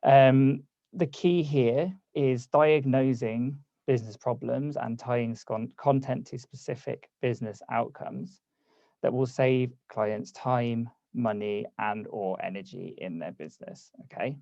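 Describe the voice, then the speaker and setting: A man's voice: mid-range voice, unhurried, vocal fry, mellow, dry recording, highs cut off. A man hosting a live online workshop over a video call, casual and conversational, losing his voice. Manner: casual, conversational, reads chat aloud. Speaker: workshop host.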